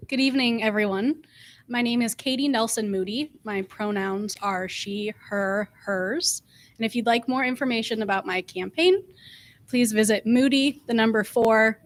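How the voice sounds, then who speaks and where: A young adult woman delivering a prepared campaign speech as a candidate for city council. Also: mid-range voice.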